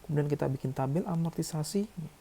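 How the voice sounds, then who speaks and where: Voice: mid-range voice, fast talker, warm tone, slight background noise. A lecturer teaching in a tutorial style, talking through figures step by step with frequent check-in tags. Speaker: accounting lecturer. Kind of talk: tutorial narration, working through figures aloud.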